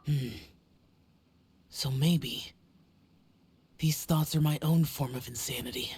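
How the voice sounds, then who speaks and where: A woman's voice: very deep voice, steady tone, darkish tone, breathy edge, heavily acted. A woman voicing a brooding young male guardian. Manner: grave, measured, weary introspection. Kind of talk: video game voice acting.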